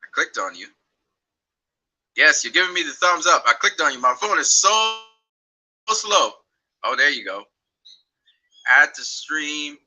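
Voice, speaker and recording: Bright-voiced man rambling on a livestream. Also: fairly high pitch, sing-song, unhurried, pauses often, low-quality recording. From Trinidad and Tobago. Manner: rambling, repeats words, talks while fiddling with phone. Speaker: livestream host. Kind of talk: casual livestream chat. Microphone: phone.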